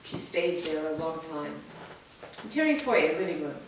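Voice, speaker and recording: A woman's voice: deep voice, lively intonation, normal speed. A woman reading scripted stage directions at a staged reading, recorded in an echoey room. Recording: echoey room, distant mic, faint noise.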